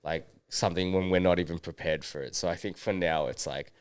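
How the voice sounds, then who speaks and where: A young Australian man chatting casually as a guest, relaxed, full of fillers and "man". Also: low voice, brisk, smooth, no room sound, studio quality. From Brisbane, Australia. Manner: laid-back, lots of fillers, says "man" often. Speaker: market co-owner, guest. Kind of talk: casual interview chat.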